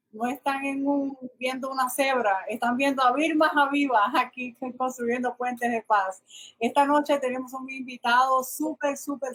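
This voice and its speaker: A woman's voice: high-pitched, fairly bright tone, poor audio. A woman hosting a radio show in Spanish and interviewing a guest.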